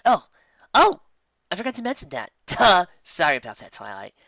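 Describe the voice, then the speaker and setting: An eccentric female pony from a fan story, acted out by a man. The voice is lifted and bright, theatrical and dreamily gushing, recorded close and dry. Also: high voice, huge pitch swings, moderate pace, no room sound, muffled recording, pitched above the performer's own voice, exaggerated voice. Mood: breezy, sheepish. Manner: breathy dreamy sighing, theatrical.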